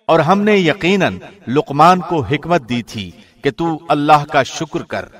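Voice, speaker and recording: A man reading a scripture translation from a script in a formal, measured, clearly enunciated way. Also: fairly high pitch, lively intonation, fairly bright tone. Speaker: translation reader, radio host.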